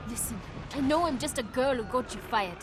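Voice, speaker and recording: A woman's voice: high-pitched, animated, fairly quick. An actress playing a determined, anguished young woman in a video game audio drama, speaking earnestly and urgently. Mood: desperate. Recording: noisy, busy ambient sound behind.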